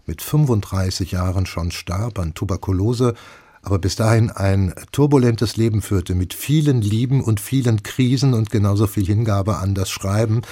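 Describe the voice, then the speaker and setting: A man hosting a radio programme, presenting scripted information in a measured way. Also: lowish voice, warm tone. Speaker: radio host. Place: radio studio.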